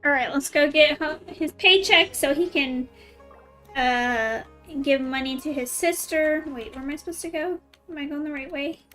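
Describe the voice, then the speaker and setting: A woman's voice: high-pitched, normal speed, pushed and bright, faint music behind. A woman streaming a video game, chatting casually and rambling in a self-conscious way over the game. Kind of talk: casual let's play commentary.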